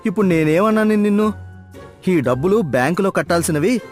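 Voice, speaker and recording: Man acting a harried, high-voiced son. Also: lively intonation, faint music behind. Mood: pleading. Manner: hurried, pleading. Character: harried adult son and husband, pleading, exasperated. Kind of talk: acted moral story dialogue.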